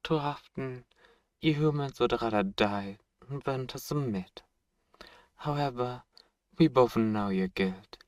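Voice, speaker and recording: A man playing a suave, possessive vampire in an ASMR roleplay, courtly and seductive with teasing menace and dramatic pauses. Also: mid-range voice, no echo, studio quality, natural voice. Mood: smug menace. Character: suave, sadistic, possessive male vampire host.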